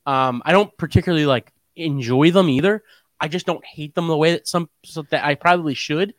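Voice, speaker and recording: A man's voice: a little high, brisk, no room sound. A young American man co-hosting a sports podcast in casual chat, often repeating himself for emphasis.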